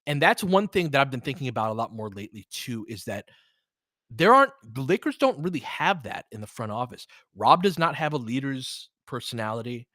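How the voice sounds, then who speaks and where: A man's voice: mid-range voice, sing-song, a little fast, sharp tone, no room sound, clean studio sound. An American man hosting a casual, unscripted sports livestream, speaking in a candid, opinionated and emphatic conversational way. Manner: candid and opinionated, swears freely. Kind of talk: casual, unscripted sports commentary chatting with listeners.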